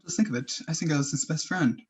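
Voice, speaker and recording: A man playing a callous, flippant businessman in a radio play, with a husky voice. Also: on the high side, fast talker. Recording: slightly muffled video-call sound.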